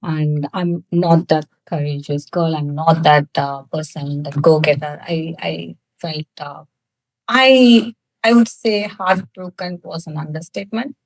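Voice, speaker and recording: Low-voiced woman from South India, candid and reflective. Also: steady tone, dry recording, poor audio. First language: Tamil. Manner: candid, laughs often, pauses with many fillers. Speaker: guest, cosmetics founder. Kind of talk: personal storytelling in a podcast interview.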